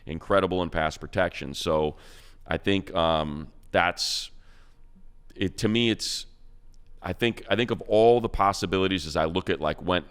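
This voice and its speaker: A man's voice: sits low, normal speed, clean tone. A man co-hosting a football show, talking draft picks in a rambling, emphatic way. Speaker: radio play-by-play announcer and co-host. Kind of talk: animated football talk.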